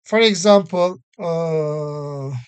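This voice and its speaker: Slow, patient male English teacher. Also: on the high side, clean tone. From Turkey. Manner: patient explanations with many everyday examples and frequent fillers. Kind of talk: recorded classroom-style English lesson.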